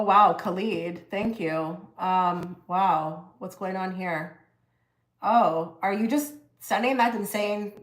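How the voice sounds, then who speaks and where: A woman's voice: mid-range voice, fairly bright tone. Chatty woman hosting a tarot stream. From half Egyptian. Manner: chatty, halting, many fillers and restarts. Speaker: tarot reader livestream host. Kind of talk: casual livestream tarot reading.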